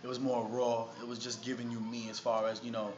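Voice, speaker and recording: A man's voice: mid-range voice, monotone, brisk. A man from Harlem with a New York accent, talking casually and in slang as a rapper being interviewed. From Harlem, New York City. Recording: noisy, muffled, echoey room recording.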